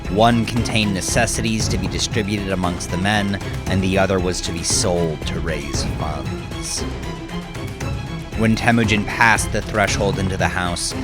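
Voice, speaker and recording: A man narrating a dramatic scripted audiobook, with deliberate, measured phrasing. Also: low voice. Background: background music.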